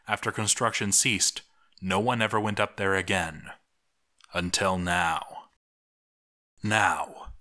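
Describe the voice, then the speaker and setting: A man reading a scripted story aloud as a narrator, theatrical and deliberate, with dramatic pauses. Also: on the low side, bright, forceful tone. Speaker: narrator of a video series.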